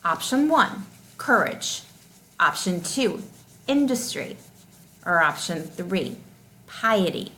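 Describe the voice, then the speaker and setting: A woman reading test questions and answer options aloud as a teacher, deliberately and with each option set clearly apart. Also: fairly low pitch, sing-song, sharp tone, rough recording.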